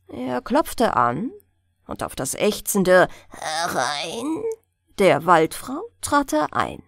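A woman narrating a fairy tale as a bedtime audiobook, in a deliberate, expressive storytelling manner. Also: medium pitch, creaky, no room sound, studio quality.

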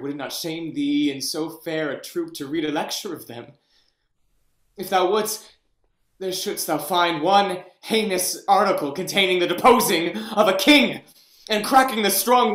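An actor playing a deposed young king, bitter and theatrical, in a play reading over a video call, swinging from hushed whispers to anguished shouts. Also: high-pitched, echoey room. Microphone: webcam on a video call.

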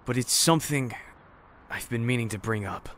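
A man's voice: mid-range voice, normal speed, a bit bright, slight background noise, close to an everyday voice. A man playing a curt, irritable, guarded survivor in an apocalypse audio drama, speaking bluntly. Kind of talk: fan audio drama acting.

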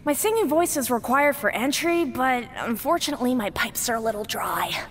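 A woman's voice: a little high, lively intonation, moderate pace, lightly acted. A woman playing an exuberant, theatrical giant flower who guards a music hall in a video game. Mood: apologetic. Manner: theatrical, effusive. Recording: long reverberant hall effect, faint noise.

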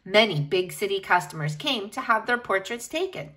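Animated woman reading a children's picture book. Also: mid-range voice, normal speed. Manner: animated, addresses young listeners directly. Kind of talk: expressive picture-book read-aloud for children.

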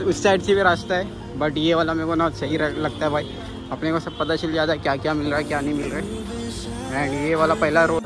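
Man, casual walking vlog, flat fairly high voice, loud music and street noise behind. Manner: chatty, keeps saying brother and guys. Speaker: moto vlogger. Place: busy city streets and a station outdoors. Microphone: action camera carried while walking.

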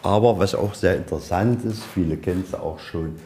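A man with a very low, soft-edged voice leads a museum tour. He talks in plain, colloquial language and lays out each exhibit one step at a time. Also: fairly quick. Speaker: museum guide. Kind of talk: explaining exhibits on a guided tour. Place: inside a museum, on location.

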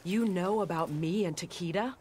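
A woman's voice: lowish voice, normal speed, heavy background noise, lightly acted. An actress playing a confident young female soldier in a video game, casual, blunt and sassy. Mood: defiant. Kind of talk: video game character dialogue.

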